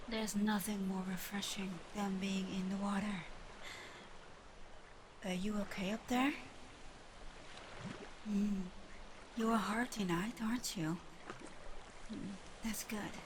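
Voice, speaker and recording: A woman voicing a proud, guarded but softening female water dragon in an ASMR roleplay, speaking softly and teasingly with breathy murmurs. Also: medium pitch, close to an everyday voice. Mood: warm, reflective. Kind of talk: ASMR roleplay, scripted character monologue. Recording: clear background ambience noise.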